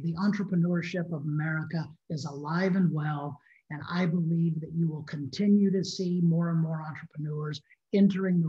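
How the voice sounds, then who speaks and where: A man's voice: fairly high pitch, mellow. Echoey middle-aged man, emphatic. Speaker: restaurant industry consultant, panelist. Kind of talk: panel discussion on video call. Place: living room at home, indoors. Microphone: computer mic on video call. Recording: dull, echoey video-call audio.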